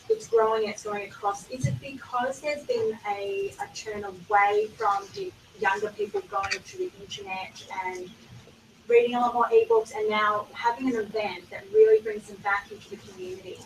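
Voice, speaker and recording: A woman's voice: extremely high pitch, sing-song, normal speed, creaky. An Australian woman student in the audience, asking a long, hesitant question. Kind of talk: question from the floor. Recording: echoey room from far off, faint noise.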